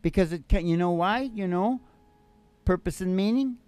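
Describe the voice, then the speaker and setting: A man's voice: high voice, normal speed, a little mellow, clean tone. An older man hosting a casual, rambling chat in a folksy way, often repeating his phrases. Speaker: podcast host and musician. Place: small curtained stage studio indoors. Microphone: microphone on stand. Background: acoustic guitar strumming.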